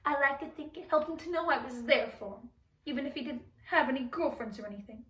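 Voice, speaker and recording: Grieving, doting mother, played by a woman, dramatic monologue. High pitch, halting and defensive, heard at a distance from the mic. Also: lively intonation, fairly bright tone. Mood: defensive, sad. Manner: halting, pleading, stammering. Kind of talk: dramatic monologue for speech competition. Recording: echoey room, distant microphone.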